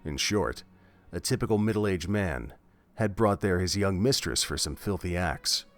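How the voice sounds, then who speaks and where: Low-voiced male story narrator. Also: soft background music. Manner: measured, expressive storytelling. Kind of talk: scripted audiobook-style narration.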